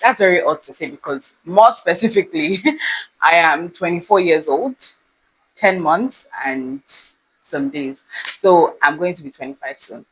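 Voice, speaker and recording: A woman's voice: on the low side, expressive, measured pace, a little hiss or hum, low-quality recording. Chatty young Nigerian woman vlogging. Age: 20s. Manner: chatty and reflective, frequent laughs and ums. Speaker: lifestyle vlogger. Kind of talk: casual talk to camera.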